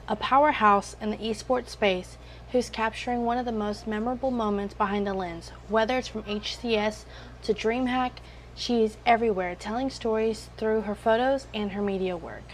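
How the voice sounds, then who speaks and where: A woman's voice: fairly high pitch, fairly even intonation, moderate pace, a little hiss or hum, poor audio. A woman in her mid thirties hosting an interview show, reading a scripted intro and giving short encouraging replies. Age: 30s. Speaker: podcast host.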